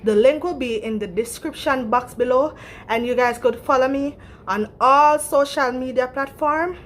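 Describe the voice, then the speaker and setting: Jamaican lady chatting to her followers at home, kinda high voice, bit of hiss behind. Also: normal speed. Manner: chatty and playful, breathless after exercise. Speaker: vlogger. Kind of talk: casual home workout vlog.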